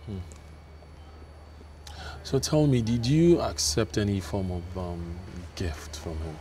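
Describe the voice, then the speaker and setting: Guy acting as a stern nigerian clinic boss, very deep voice, mic set back with faint hiss. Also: lively intonation, slow, warm tone. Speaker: clinic manager. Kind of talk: film drama acting. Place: outdoors on a clinic balcony. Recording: distant mic with faint noise.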